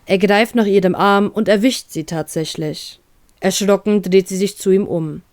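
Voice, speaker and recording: A woman's voice: medium pitch, moderate pace, a little mellow, no room sound. Woman narrating dramatically, dry close sound. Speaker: narrator of fan fiction audio story. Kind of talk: dramatic scripted story narration.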